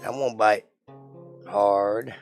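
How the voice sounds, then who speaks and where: A man's voice: lowish voice, fairly even intonation, very slow, vocal fry, over music. Opinionated man ranting on stream. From Georgia, USA. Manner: emphatic, opinionated, rhetorical questions. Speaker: live stream host. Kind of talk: casual political rant to chat.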